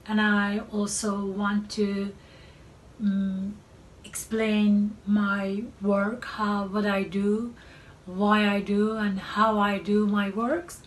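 A middle-aged woman with a Turkish accent, originally from Turkey and a native Turkish speaker, giving a presentation about her work as an artist. She speaks slowly and haltingly and often pauses to search for words. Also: mid-range voice, level tone. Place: cluttered art studio. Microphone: far camera. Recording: distant mic, faint room noise.

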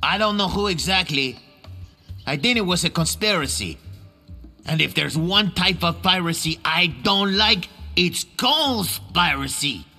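A man's voice: high-pitched, lively intonation, a little slow, sharp tone, soft background music, exaggerated voice. A man playing a wretched, pleading prisoner in a cartoonish video game, whiny and theatrical. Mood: grumbling, conspiratorial. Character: pleading, foul-breathed, wretched male jailbird.

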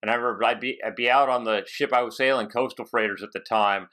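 A man in his fifties hosting a casual technical interview on a headset from a home office, haltingly thinking aloud. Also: medium pitch, steady tone, bright, forceful tone. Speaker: podcast host. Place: home office with bookshelves, on a video call.

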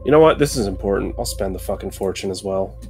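A man's voice: low-pitched, animated, quick pace. A man chatting casually as a player in a tabletop game, joking and swearing. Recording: background music under the voice.

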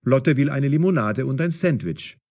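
Expressive male storyteller, animated intonation. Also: medium pitch, normal speed. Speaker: narrator. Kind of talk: scripted story narration for language learners.

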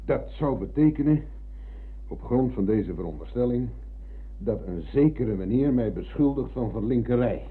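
An actor playing a proud, touchy older comrade and former prisoner of war, insistent and emphatic, in a Dutch radio drama. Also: male voice, mid-range voice, lively intonation, normal speed, husky. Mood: indignant. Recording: muffled, dull old radio recording.

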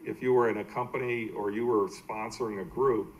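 An American man giving a slide presentation at a public meeting as a team executive, halting and plain-spoken. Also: lowish voice, fairly even intonation, lo-fi sound. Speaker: baseball team executive presenting to a city council. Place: indoor council meeting room.

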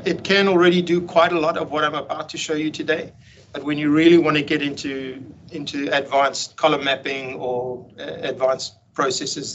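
A man presenting a technical meetup talk over slides on a video call, explaining in a relaxed way with frequent ums and restarts. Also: a little high. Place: home room on a video call. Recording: dull lo-fi video call audio with faint hiss.

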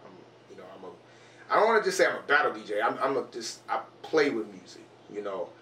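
A man's voice: medium pitch, sing-song, fairly quick, a bit bright. A man in his thirties with a Detroit accent, introducing himself casually as a turntablist DJ in an interview. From Detroit, USA. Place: small cluttered home studio. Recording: echoey room, distant mic, faint noise.

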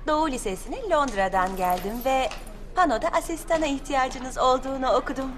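A woman's voice: sits high, fairly quick, soft background music, lightly acted. A woman voicing a poised, ambitious teenage schoolgirl in an amateur film dub, self-assured. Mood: polite, efficient. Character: poised, ambitious teenage schoolgirl assistant turned scheming rival. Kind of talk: amateur fan dub acting.

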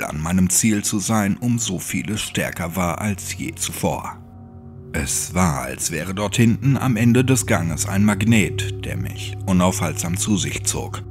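A man's voice: low voice, gravelly. A man narrating a scripted horror audiobook in a brooding, dramatic manner. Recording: music under the voice.

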